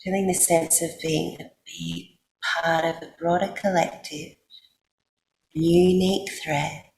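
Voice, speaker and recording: Very slow, low-voiced Australian woman guiding meditation. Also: steady tone, darkish tone. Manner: soothing, unhurried, with long pauses. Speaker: yoga nidra guide. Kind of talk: guided meditation for sleep. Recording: roomy echo, faint background noise.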